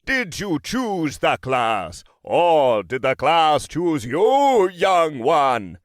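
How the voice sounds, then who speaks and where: Hammy, drawn-out British wizard. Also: male voice, fairly high pitch, sing-song, no room sound, clean studio sound, voice pushed up, full character voice. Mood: maniacal, grandiose. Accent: fake British. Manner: overdramatic, pompous, drawn-out proclamations. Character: hammy, maniacal wizard roleplayer under forty, grandiose and theatrical.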